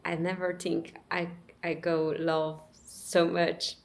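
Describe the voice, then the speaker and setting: Slow, halting woman. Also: lowish voice, flat delivery, gravelly. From Brazil. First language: Portuguese. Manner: halting, searching for words, many pauses and restarts; soft-spoken, confessional. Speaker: vlogger. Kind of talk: personal reflective monologue.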